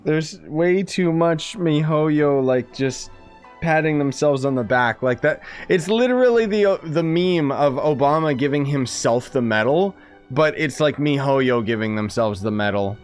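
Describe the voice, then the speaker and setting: A man's voice: on the high side, measured pace, smooth. Sarcastic man commentating over faint game music. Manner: sarcastic, blunt, grumbling. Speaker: gaming streamer. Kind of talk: casual game playthrough commentary.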